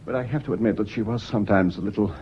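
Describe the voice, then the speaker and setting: An actor playing an indignant, evasive British gentleman under suspicion in a radio mystery play, genteel and hesitant in his speech. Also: lowish voice, rapid-fire, natural voice. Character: indignant, evasive gentleman husband suspected of poisoning his wife. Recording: dull radio drama recording, audible noise.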